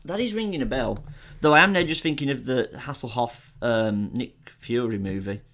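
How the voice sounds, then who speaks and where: A man's voice: medium pitch, expressive, moderate pace, a bit bright. Guy on a podcast, opinionated and eager, just chatting about movies. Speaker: podcast co-host. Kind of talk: casual film chat.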